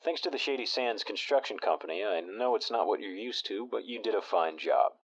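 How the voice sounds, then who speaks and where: A man playing a steady radio talk host. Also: on the low side, a little fast, poor audio. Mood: measured. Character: even-tempered male radio talk show host.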